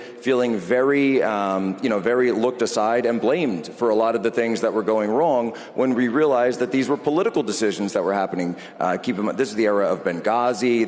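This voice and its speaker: A man's voice: medium pitch, darkish tone. A man in his thirties interviewing on a conference stage, earnest and rambling, often correcting himself. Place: large conference hall stage with live audience. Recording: long hall reverb.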